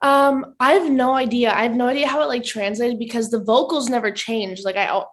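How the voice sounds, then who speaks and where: A young woman with a soft, raspy voice, chatting casually as a singer guest in a radio interview, full of "like" fillers. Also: fairly high pitch, fairly even intonation, a little fast. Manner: chatty, lots of "like" fillers, giggly.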